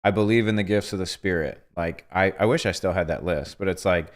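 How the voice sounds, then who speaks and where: An earnest young man with a somewhat low voice, chatting on a livestream. He tells a story with lots of quoted asides and animated turns. His delivery is casual and sincere. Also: fairly quick. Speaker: host. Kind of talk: casual couple chat on a livestream.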